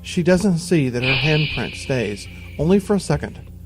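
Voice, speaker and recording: A man narrating a scripted audio drama in a deliberate, dramatic manner. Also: a little high, big pitch swings, poor audio. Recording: voice under loud music.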